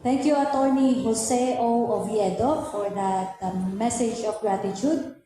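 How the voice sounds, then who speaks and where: High-voiced woman announcing in an echoey hall. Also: measured pace, warm tone. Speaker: master of ceremonies. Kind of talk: formal event announcing. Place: large indoor hall. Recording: echoey hall, long reverb, music behind. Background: music.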